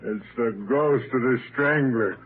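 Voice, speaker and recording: A man's voice: mid-range voice, unhurried, slightly rough. An actor playing a superstitious, folksy mountain man with a rural American accent in a 1930s radio drama. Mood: ominous, folksy. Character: rustic, superstitious mountain man living alone in the hills. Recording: scratchy, tinny 1939 radio broadcast.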